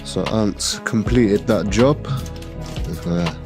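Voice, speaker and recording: A deep-voiced man talks slowly and easily through a vlog, a little nasal from a cold. Music plays clearly underneath. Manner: relaxed, enthusiastic product talk with fillers. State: has a cold. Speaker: optician showing a frame job. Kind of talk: casual workshop vlog narration.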